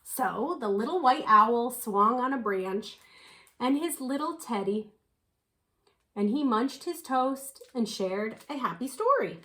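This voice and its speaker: A woman reads a picture book to children in a high, lively voice. She takes her time and gives words dramatic emphasis, storyteller style. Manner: animated, unhurried, dramatic emphasis. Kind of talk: expressive picture-book read-aloud.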